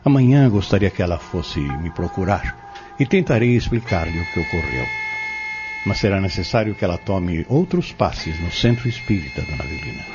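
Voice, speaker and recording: An actor playing a kindly, solemn pharmacist and healer in a Brazilian audio drama, speaking in formal, measured, preacherly phrases with a Brazilian accent. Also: male voice, deep voice, lively intonation, over music. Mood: calm, reassuring. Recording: muffled, dull lo-fi recording.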